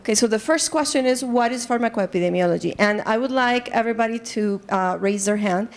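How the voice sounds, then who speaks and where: A woman's voice: on the high side, brisk. A woman from Colombia with a Colombian accent, an assistant professor giving a lecture to a live group, earnest, with many fillers and restarts. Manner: earnest, lots of fillers and restarts, engages audience. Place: conference room.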